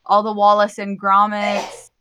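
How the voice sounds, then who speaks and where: A woman's voice: medium pitch, monotone, a little slow, noisy background. A young woman co-hosting a podcast, speaking casually in a group review chat. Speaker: podcast co-host.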